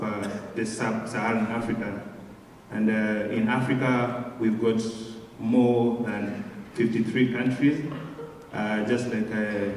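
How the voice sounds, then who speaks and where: A man's voice: on the low side, darkish tone, slight background noise. Kenyan man speaking far off in an echoey hall. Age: 20s. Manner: hesitant, frequent filler pauses. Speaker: guest speaker. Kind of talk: personal testimony speech.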